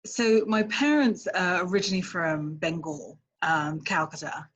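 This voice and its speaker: A woman with a London English accent, speaking as an immigration lawyer guest in a casual interview, thoughtful and halting with many fillers. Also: fairly low pitch, steady tone. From England.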